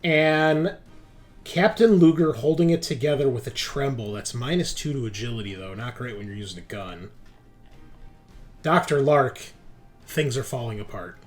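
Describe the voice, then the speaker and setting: A man's voice: medium pitch, moderate pace, soft background music. A man running a tabletop roleplaying game as game master, explaining rules and procedure step by step. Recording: clearly noisy background.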